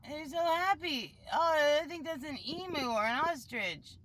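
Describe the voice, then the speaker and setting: A woman's voice: sits high, lively intonation, unhurried, fairly bright tone, slight background noise. A woman talking casually and informally, telling an improvised story in a rambling way with plenty of filler "like".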